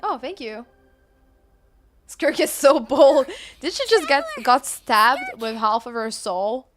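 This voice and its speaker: A woman streams a video game, chatting casually and reacting with gushing exclamations. Her voice sits fairly high, and soft game music plays underneath. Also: slow pace. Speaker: livestream gamer.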